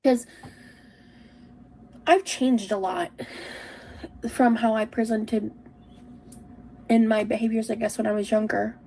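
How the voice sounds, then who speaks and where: A woman in her thirties speaking in a halting, self-reflective way, as in a personal confessional vlog. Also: a little high, steady tone, darkish tone, a little hiss or hum, low-quality recording. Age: 30s.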